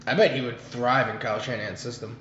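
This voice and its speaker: A man's voice: medium pitch, fairly even intonation, fast talker, a bit bright, slight background noise. A young man co-hosting a podcast, telling stories in a rambling, jokey, profane way in casual chat. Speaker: podcast co-host. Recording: echoey room, dull lo-fi sound.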